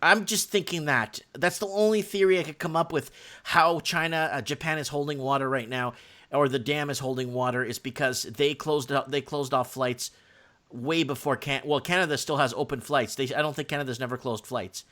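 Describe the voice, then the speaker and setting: A man hosting a live call-in stream, talking casually in a halting, musing way and repeating himself. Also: mid-range voice, fairly even intonation, fairly bright tone. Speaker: live stream host taking guest calls.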